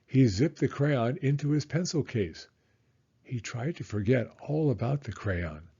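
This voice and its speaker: A man reading a children's story aloud in a theatrical, suspenseful way with drawn-out emphasis. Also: mid-range voice, expressive, mellow, breathy edge, dull audio.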